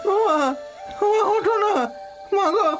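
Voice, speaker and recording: A woman's voice: very high voice, a little slow, faint music behind. A woman voicing a grief-stricken child in a cartoon melodrama, crying and wailing for a parent. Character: grieving child wailing for a dead mother.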